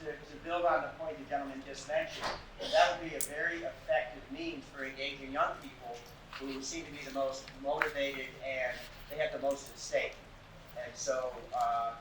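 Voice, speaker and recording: Man, teacher voice, loud and projecting, noisy echoey hall. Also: mid-range voice, recorded from a distance. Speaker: teacher and audience member. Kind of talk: comment from audience.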